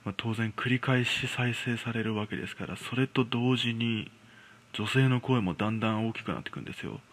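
A man's voice: medium pitch, a little fast, a bit bright. A man casually telling a ghost story in a conversational, hesitant way, acting out people's reactions as he goes. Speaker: storyteller host.

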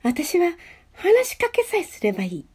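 Radio drama schoolgirl played by a woman: high-pitched, proud and easily flustered, swinging melodramatically. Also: wildly swooping pitch, normal speed, darkish tone, heavily acted. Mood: nervous, psyching up. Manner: dramatic, tsundere-like, talks to herself. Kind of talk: amateur radio drama acting.

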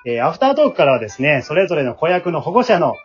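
子供たちに話を聞きながら、答えを繰り返して励ますように進行する男性の司会者。. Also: male voice, fairly high pitch, sing-song, racing, husky, soft background music. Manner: encouraging, repeats the children's answers back. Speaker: host of an amateur drama group's aftertalk. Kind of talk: casual hosting, interviewing children. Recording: muffled, band-limited sound.

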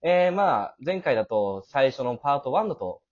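A man's voice: medium pitch, big pitch swings, normal speed, dull audio. A man hosting a casual cast after-talk, talkative and given to chuckling. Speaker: host.

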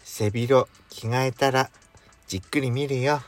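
A man playing a devoted husband in an amateur radio drama, gently teasing and flirtatious. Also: mid-range voice, fairly even intonation, unhurried, natural voice. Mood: tender, teasing. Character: devoted husband home late from work. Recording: background music under speech.

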